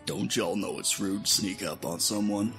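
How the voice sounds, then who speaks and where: An actor playing a mocking, cocky villain wreathed in fire, with a folksy, drawling manner in an audio drama. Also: male voice, on the low side, moderate pace, fairly bright tone, faint music behind. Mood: taunting.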